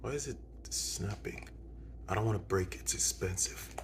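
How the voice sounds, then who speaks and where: A man giving a casual hands-on music gear demo to viewers, halting as he goes, often repeating and correcting himself. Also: lowish voice, noisy background. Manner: halting, repetitive, self-correcting. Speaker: video host demonstrating music gear.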